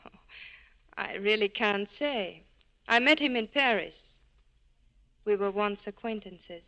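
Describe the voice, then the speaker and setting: An actress playing a wistful, gently insistent former lover in a 1940s radio drama. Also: female voice, mid-range voice, slow pace. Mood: guarded, nostalgic. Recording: muffled vintage radio recording.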